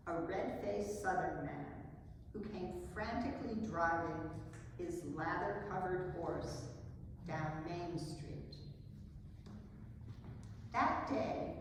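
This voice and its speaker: Proud abolitionist woman read by a woman, slightly low and unhurried, heard from far off in a reverberant hall. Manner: measured, solemn recitation. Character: proud older abolitionist woman recalling her courageous childhood. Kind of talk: dramatic poetry reading. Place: large indoor hall at a live event. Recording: very echoey hall from far, noisy.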